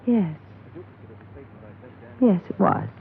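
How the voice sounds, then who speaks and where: An actress playing a cool, bored rich widow in an old-time radio drama, answering in a detached, curt manner. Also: female voice, medium pitch, drawn-out words, vocal fry, slight background noise. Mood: bored, guarded. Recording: narrow, muffled vintage radio recording.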